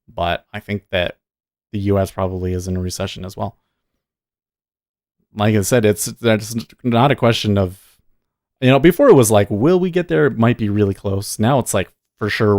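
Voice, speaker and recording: A man's voice: fairly low pitch, a little mellow, dry recording, clean studio sound. A man hosting a day trading livestream, chatting casually and thinking aloud, often muttering to himself between points.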